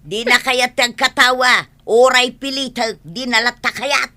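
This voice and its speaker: A woman's voice: on the high side, unhurried, sharp tone. A woman with a Filipino accent playing an insistent, plaintive village wife in a comedic audio drama. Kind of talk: comedic radio drama acting.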